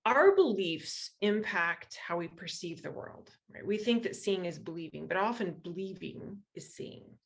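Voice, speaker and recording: An American woman speaks as a guest lecturer, explaining ideas in a conversational way. Her voice sits a little on the low side and has a clear creak to it. She often checks in with her listeners through rhetorical questions. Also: expressive, measured pace, hardly any pauses. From western Iowa, United States. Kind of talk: explanatory public talk.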